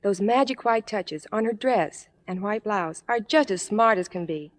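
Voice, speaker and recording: A woman's voice: mid-range voice, expressive, normal speed. A young woman as a guest, reading scripted promotional banter in 1950s radio style. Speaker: guest in sponsor segment.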